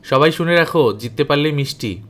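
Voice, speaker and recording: A man's voice: a little high, lively intonation, fairly quick, fairly bright tone. A man voicing a confident, bossy young boy who leads his team, in an emphatic storybook reading. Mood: rallying.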